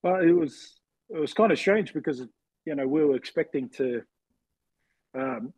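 Husky Kiwi-man, relaxed storytelling. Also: on the high side, normal speed, no room sound, rough recording. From New Zealand, later Western Sydney, Australia. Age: 40s. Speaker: interview guest, former rugby league player. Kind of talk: casual personal storytelling.

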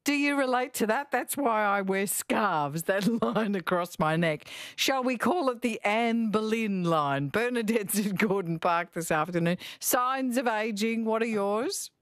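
A chatty woman hosting talkback radio, quick to laugh as she reads out messages and takes calls. Her voice sits at a middle pitch and is recorded close and dry, with no room sound. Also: expressive, no room sound. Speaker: radio host.

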